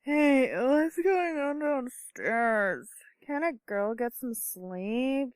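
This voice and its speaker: A woman's voice: sits high, expressive, drawn-out words, no echo, full character voice. A woman playing a brazen, flirtatious, lazy neighbour who teases, in an amateur audio drama. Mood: grumpy.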